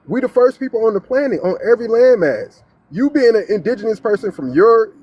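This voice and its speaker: A man with a high, husky voice argues on a live panel. He presses his point insistently and lectures, stumbling over repeated words. His tone stays confrontational throughout. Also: a little mellow. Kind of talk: heated argument.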